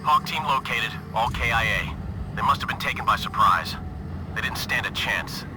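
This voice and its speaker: An actor playing a terse, gruff squad leader giving orders in a military shooter video game. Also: male voice, mid-range voice, animated, normal speed, noisy background, lightly acted. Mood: sober briefing. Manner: terse, commanding. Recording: music under the voice.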